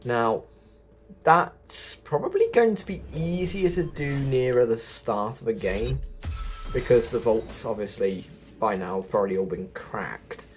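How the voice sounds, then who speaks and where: A man's voice: mid-range voice, animated, a little slow, slight background noise. A man giving chatty game quest walkthrough commentary as a host, often correcting himself as he goes. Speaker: gaming video host.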